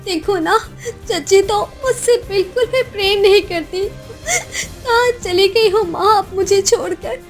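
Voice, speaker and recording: A woman's voice: very high voice, a little slow, soft background music, voice pushed up. A woman voicing a timid, curious orphan village girl in a cartoon story, anxious and stammering, often pleading. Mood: tearful longing. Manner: stammering, repeats words anxiously.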